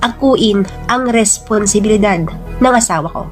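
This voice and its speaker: A woman's voice: medium pitch, normal speed, music in the background, lightly acted. A woman with a Filipino accent playing a protective, uneasy wife and mother in a radio-style drama. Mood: firm, protesting.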